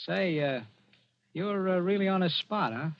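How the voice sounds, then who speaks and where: Scheming crook, highish voice, old radio. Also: male voice, unhurried, a little hiss or hum. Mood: hesitant, sly. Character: scheming, smooth-talking male crook setting up his pal. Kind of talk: 1940s radio drama acting. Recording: muffled old radio broadcast recording.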